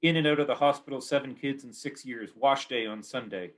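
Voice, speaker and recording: A man's voice: medium pitch, a little fast, fairly bright tone. A man with a Canadian accent giving a poetry reading of his own work in short, clipped, repeating phrases.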